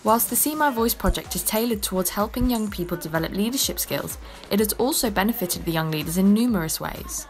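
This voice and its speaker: A woman reading scripted documentary narration in a measured, clear way. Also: medium pitch, lively intonation. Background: background music.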